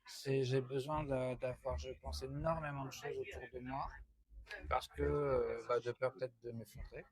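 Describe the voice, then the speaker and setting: A man from France with a medium-pitched voice, speaking as a novelist in a relaxed interview format. He thinks aloud, halting and restarting, and tends to repeat a phrase before completing the thought. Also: crowd noise. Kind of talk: conversational interview answers.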